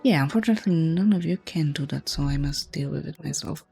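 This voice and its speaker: A woman with a hoarse, low voice, muttering and chuckling to herself as she comments on a video game. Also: fast, music in the background. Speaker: streamer. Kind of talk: casual gameplay commentary.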